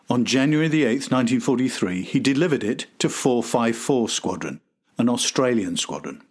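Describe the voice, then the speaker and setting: A man hosting a podcast, reading a scripted historical documentary narration. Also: medium pitch.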